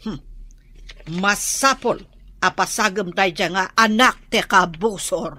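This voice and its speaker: A woman's voice: medium pitch, slow, bright, forceful tone. A woman acting in an Ilocano radio drama as a vengeful clan mother who goads and scolds her son. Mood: probing. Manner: scolding, goading.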